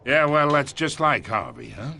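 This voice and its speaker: A man's voice: mid-range voice, huge pitch swings, normal speed, creaky, sharp tone, slight background noise, full character voice. A man playing a brash, cocky middle-aged crime boss with streetwise talk in a video game. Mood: domineering. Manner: folksy, cocky, streetwise slang.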